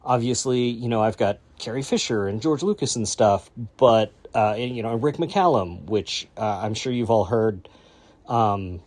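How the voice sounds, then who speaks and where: A man's voice: lowish voice, measured pace, a little mellow. A male podcast host giving a casual, rambling introduction full of fillers.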